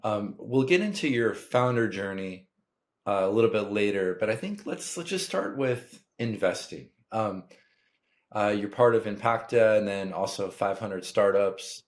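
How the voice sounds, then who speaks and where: A man's voice: fairly low pitch, expressive, unhurried. Upbeat middle-aged male podcast host. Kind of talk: podcast hosting and questions.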